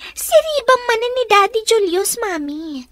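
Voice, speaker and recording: A woman's voice: very high voice, big pitch swings, measured pace, a bit bright, dry recording, exaggerated voice. A woman with a Filipino accent playing a spoiled, bratty student daughter in a radio drama. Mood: whiny, pouting. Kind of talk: Ilocano radio drama acting.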